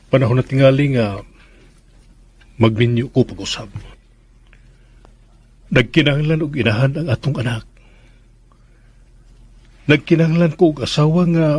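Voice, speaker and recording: A man playing a middle-aged widowed father with a Filipino accent in a solemn radio drama monologue, tender, sentimental and measured. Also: mid-range voice, lightly acted. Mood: tender, grieving.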